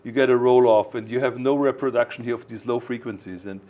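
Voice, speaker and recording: A man explaining technical matters informally as a product designer, in a didactic, emphatic way with halting phrasing. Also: mid-range voice, steady tone, dark tone, highs cut off.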